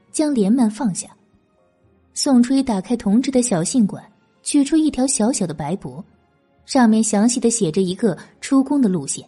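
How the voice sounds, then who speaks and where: Female audiobook narrator, highish and expressive, husky edge, dramatic period fiction. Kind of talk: scripted dramatic novel narration.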